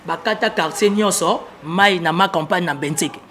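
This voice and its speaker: A man's voice: sits high, moderate pace, bright, forceful tone. A man from the Congo with a Congolese accent, giving political commentary as an interviewed analyst, emphatic and often repeating his phrases.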